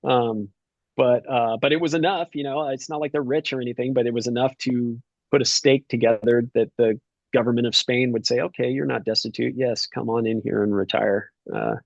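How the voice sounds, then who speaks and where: A man's voice: mid-range voice, steady tone, a little fast, vocal fry, no room sound, lo-fi sound. A middle-aged man talking casually as a podcast guest, rambling with lots of fillers and restarts. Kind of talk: casual conversation.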